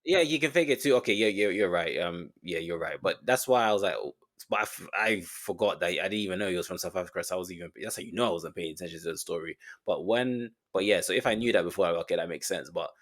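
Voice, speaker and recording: Man, low voice, casual, unhurried, informal movie chat. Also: a bit bright. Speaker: podcast co-host.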